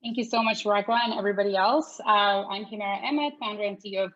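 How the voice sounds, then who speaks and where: A woman's voice: mid-range voice, fast talker, a bit bright. A woman from Pakistan, a startup founder on a panel, talking earnestly with lots of fillers. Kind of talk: panel self-introduction. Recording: muffled lo-fi audio.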